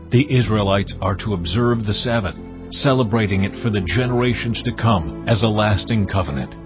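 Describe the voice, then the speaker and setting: An actor playing the Lord God as a solemn, stern, commanding deity in a dramatized scripture reading, measured and deliberate. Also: male voice, fairly low pitch, natural voice. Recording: dull band-limited sound with music behind. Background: background music.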